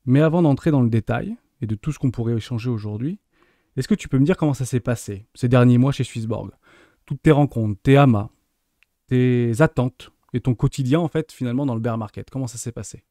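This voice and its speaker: A man's voice: mid-range voice, fairly quick, warm tone, dry recording, studio quality. A man hosting a video interview, reading a formal introduction and asking questions with enthusiasm. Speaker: host.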